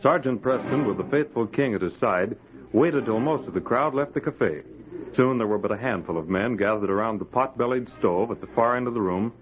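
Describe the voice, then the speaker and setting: A man's voice: mid-range voice, fairly quick, lightly acted. A man narrating an adventure serial as a dramatic old-time radio announcer. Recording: narrow-band old radio recording. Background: music.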